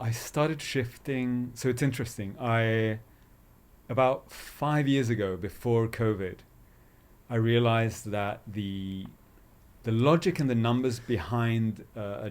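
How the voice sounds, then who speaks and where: A man from Chile, raised in London, talking conversationally as an artist guest in an interview. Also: mid-range voice.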